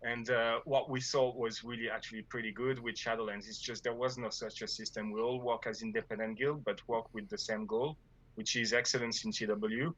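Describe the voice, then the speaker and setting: A man's voice: mid-range voice, fairly even intonation, a little fast, a bit bright, muffled recording. A man from France with a French accent, talking about his gaming guild in a casual interview, hesitant with many fillers and restarts. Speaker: guild leader.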